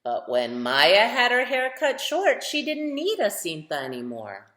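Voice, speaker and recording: A woman's voice: a little high, wildly swooping pitch, measured pace, fairly bright tone. A woman reading a picture book aloud to children, expressively, putting questions to her young listeners. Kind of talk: storytime read-aloud for children. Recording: roomy, echoing sound.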